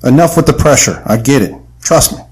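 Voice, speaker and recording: A man's voice: mid-range voice, lively intonation, brisk, lightly acted. A man playing a dutiful marksman in an audio drama, earnest and matter-of-fact as he acts and narrates. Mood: determined. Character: Delta, steady dutiful male marksman narrating his own adventure. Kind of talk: audio drama acting and first-person narration.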